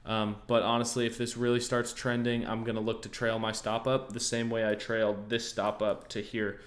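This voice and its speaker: Laid-back low-voiced American man. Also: monotone, moderate pace. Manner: easygoing, thinks aloud with many fillers and restarts. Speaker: trading livestream host. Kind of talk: casual livestream chat answering viewers.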